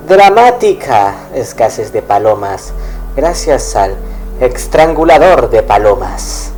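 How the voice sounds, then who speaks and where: Male narrator, mid-range pitch, even and measured reads of eerie text, music bed underneath. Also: rough recording. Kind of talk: scripted horror narration.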